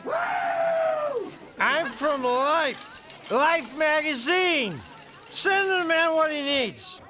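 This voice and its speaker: Boisterous, high-pitched magazine reporter. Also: expressive, drawn-out words, loud music behind the voice, heavily acted. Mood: excited, grandiose. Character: boisterous, grandiose magazine reporter, carried away. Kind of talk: film drama dialogue.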